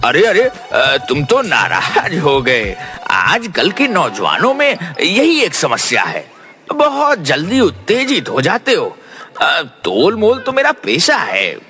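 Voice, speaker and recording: A woman's voice: sits low, huge pitch swings, slow pace, sharp tone, soft background music, pitched below the performer's own voice, heavily acted. A woman voicing a greedy, wary, haggling old male merchant in a children's cartoon story. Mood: placating.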